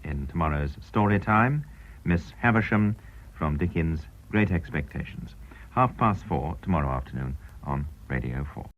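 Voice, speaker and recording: A man's voice: rumbling low, animated, normal speed. A British man announcing a scripted radio programme trailer in a formal, dramatic broadcast manner. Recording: dull old radio broadcast recording.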